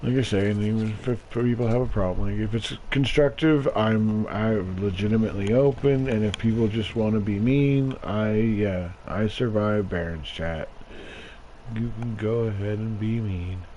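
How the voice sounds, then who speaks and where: A man's voice: fairly low pitch, moderate pace, mellow. A middle-aged man rambling solo over gameplay, self-deprecating and given to muttered asides. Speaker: gaming streamer. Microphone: home gaming mic turned up. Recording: noisy home microphone with turned-up gain.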